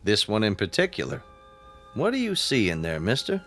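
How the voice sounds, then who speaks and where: An actor playing a nosy, casual museum guard in a radio drama, wry and probing. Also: male voice, fairly low pitch, huge pitch swings, normal speed, exaggerated voice. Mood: curious, wry. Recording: radio play with soft music underneath.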